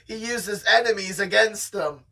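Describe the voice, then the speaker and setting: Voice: mid-range voice, normal speed, creaky, bright, forceful tone, far from the mic. A host reading a novel aloud in an amateur, casual storytime style, chatting along the way.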